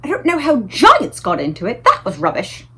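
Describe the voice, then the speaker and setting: A woman's voice: mid-range voice, huge pitch swings, normal speed, noisy background. A woman reading a storybook voices a regal, well-mannered queen, startled yet self-controlled, with precise exclamations. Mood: unsettled, dreamy.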